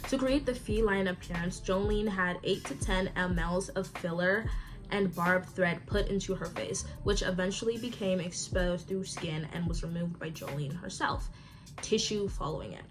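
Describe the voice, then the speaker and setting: A woman's voice: lowish voice, moderate pace, low-quality recording. A woman narrating a scripted commentary in a wry, opinionated way. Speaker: commentary youtuber. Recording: voice-over with music behind.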